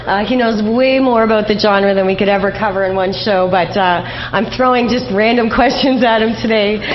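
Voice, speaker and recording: A woman's voice: medium pitch, normal speed. A woman hosting a radio show, chatting casually with a guest in an interview. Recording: slightly dull, noisy radio recording.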